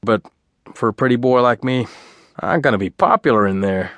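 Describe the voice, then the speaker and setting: A man reads as a vain pretty boy in an audiobook story. He is flippant and cynical, speaking at a medium pitch. The recording is dry. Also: normal speed, fairly bright tone, no room sound, natural voice.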